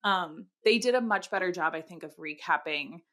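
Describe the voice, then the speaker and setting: A woman's voice: lowish voice, moderate pace, a bit bright. A woman co-hosting a podcast, talking in a chatty, informal, self-deprecating way about books. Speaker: podcast co-host. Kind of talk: casual book discussion.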